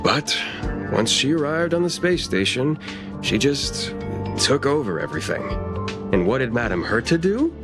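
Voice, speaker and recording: A man's voice: mid-range voice, big pitch swings, moderate pace, natural voice. A man playing an exasperated, gossipy researcher in a game, theatrical and sarcastic in his delivery. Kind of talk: scripted game dialogue. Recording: music playing behind the voice.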